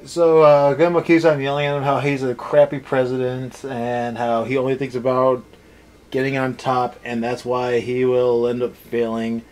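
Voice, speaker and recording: A man's voice: mid-range voice, flat delivery, normal speed, darkish tone, low-quality recording. A man in a casual, opinionated discussion as a review co-host, blunt and dismissive in his ranting. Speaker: co-host of a card game anime review.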